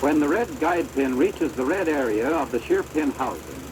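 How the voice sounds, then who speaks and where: A man's voice: fairly high pitch, expressive. Noisy old training-film narrator, American man. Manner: formal, measured, instructive. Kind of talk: scripted instructional narration. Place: recorded off an old film projector. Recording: noisy, deteriorated 16mm projector soundtrack.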